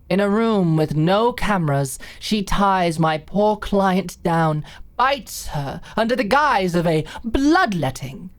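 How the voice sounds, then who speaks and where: A woman playing a formal, self-assured prosecuting lawyer who speaks rhetorically in a courtroom roleplay drama. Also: medium pitch. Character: smooth-tongued, theatrical female prosecuting lawyer.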